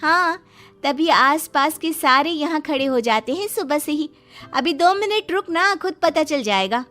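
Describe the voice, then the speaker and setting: A woman voices a fed-up young daughter-in-law in a cartoon moral tale. Her high voice grumbles and complains expressively, with light music underneath. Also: lively intonation, unhurried, sharp tone, heavily acted. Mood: resigned, sarcastic. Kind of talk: cartoon moral story acting.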